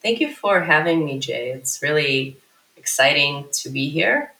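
A woman in her thirties talks animatedly as a podcast guest, winding through long sentences with plenty of fillers. Her voice is bright and sharp. It rings in a noticeably echoey room. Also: low voice, unhurried. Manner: animated, rambling, many fillers and restarts. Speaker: startup CEO guest. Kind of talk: casual podcast interview.

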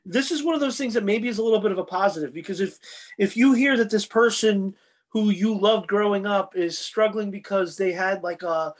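A man co-hosting a podcast, talking casually about screen entertainment in a rambling, halting way that often circles back on itself. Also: high-pitched. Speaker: podcast co-host. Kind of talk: casual panel chat about TV and film.

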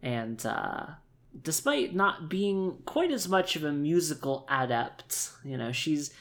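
An androgynous voice narrates a tabletop role-playing game off the cuff. The delivery is very slow and halting, with wordy, wry phrasing. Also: mid-range voice, big pitch swings. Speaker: tabletop role-play player. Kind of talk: casual improvised game narration.

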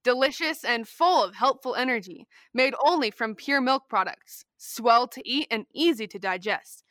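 Young woman as bright, peppy radio announcer. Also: on the high side, lively intonation, moderate pace, no echo, studio quality. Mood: salesy. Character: peppy old-time radio announcer addressing children. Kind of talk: 1930s radio announcing and ad reading.

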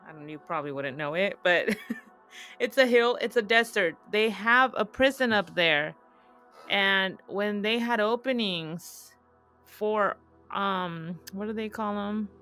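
30s woman cohost, bright clear voice, chatty, laughs a lot, faint music in the background. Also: mid-range voice, slow pace. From Southern California. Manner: laughs often, chatty and animated. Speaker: podcast co-host reading fan questions. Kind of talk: casual live podcast chat.

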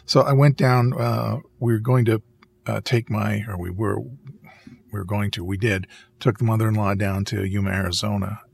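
A man chatting casually on a podcast in an easygoing way, pausing mid-sentence to rephrase. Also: on the low side, steady tone, vocal fry, slight background noise. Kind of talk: casual conversational podcast chat.

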